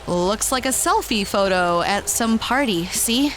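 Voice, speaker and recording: A woman's voice: a little high, lively intonation, measured pace, fairly bright tone, a little hiss or hum. A woman playing a brash, wry young adventurer in an audio drama, with a slangy, offhand delivery.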